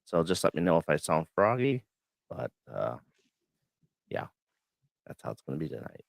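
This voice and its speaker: A man hosting a casual livestream chat, a bit hoarse and froggy from the flu, talking in a relaxed, rambling way with many fillers. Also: extremely low pitch, normal speed, no room sound, studio quality. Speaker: host. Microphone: studio microphone.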